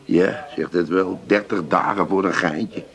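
An actor playing a cheeky small-time crook in a comic radio play, grumbling and wheedling with streetwise banter. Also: male voice, low voice, unhurried, fairly bright tone. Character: cheeky small-time Amsterdam crook, indignant about jail.

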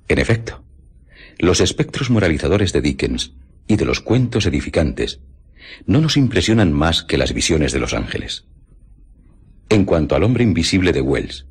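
Very deep, husky man reading expressively. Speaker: reader of literary quotations. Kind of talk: scripted reading of an essay for radio.

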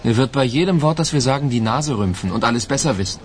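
A man's voice: mid-range voice, expressive, quick pace, slight background noise, close to an everyday voice. A man playing a sardonic, griping police captain in a German radio crime drama. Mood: irritated, sarcastic. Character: grumbling, sarcastic male police detective captain.

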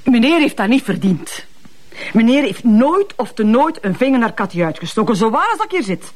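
Elderly Flemish housekeeper, lively intonation, prim, indignant, loyal, reproving, TV drama. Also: female voice, fairly high pitch, brisk. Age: older. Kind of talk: naturalistic TV drama dialogue.

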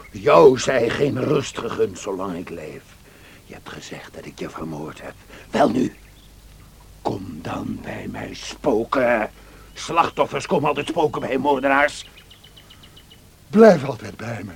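A man's voice: fairly low pitch, vocal fry, full character voice. A man playing a brooding, vengeful, grief-crazed lover in a radio play, intense and theatrical, moving between muttering and outcry. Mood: desperate, pleading. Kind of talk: Dutch radio play acting. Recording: noisy old radio play recording.

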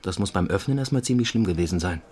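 A low-voiced male police investigator in a radio drama lays out a case. He is measured and factual, with a streak of dry wit. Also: animated, darkish tone, a little hiss or hum. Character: matter-of-fact male police investigator giving a case report. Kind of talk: German audio drama dialogue.

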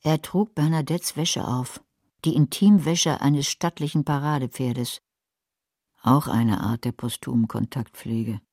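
Sardonic older woman, very deep voice, dry and wry, greedy retired librarian, radio crime drama. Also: darkish tone, dry recording, heavily acted. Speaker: narrator and lead character. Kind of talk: radio drama narration and dialogue.

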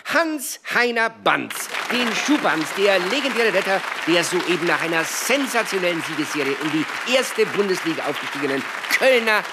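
A man's voice: high-pitched, lively intonation, moderate pace, few pauses. An actor in a radio drama playing a glib, needling male TV talk show host with a showy, teasing delivery. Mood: gleeful, showy. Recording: loud show music, crowd noise.

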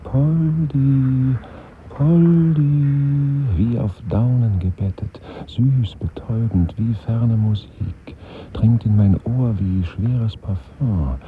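Ein Schauspieler spricht in einem Hörspiel den inneren Monolog eines grüblerischen, morbid träumenden Mannes, bruchstückhaft und sinnierend. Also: male voice, mid-range voice, a little hiss or hum, natural voice. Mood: dreamy, sensual. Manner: slow, fragmentary, musing. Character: brooding man in dreamy, morbid inner monologue. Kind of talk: literary radio play monologue. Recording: dull 1970s radio recording, music behind.

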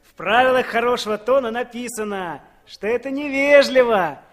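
Very high-pitched, cheeky young drifter. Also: male voice, normal speed, a bit bright. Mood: cheeky, wheedling. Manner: breezy, slangy, teasing. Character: brash, broke young dandy drifter, cheeky and wheedling. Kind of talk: 1960s Soviet radio play acting.

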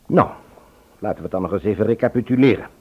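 An actor playing an insistent, genial husband in a radio play. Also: male voice, lowish voice, fast talker, close to an everyday voice. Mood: pressing, skeptical. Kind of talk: Dutch radio drama acting. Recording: muffled old radio play recording.

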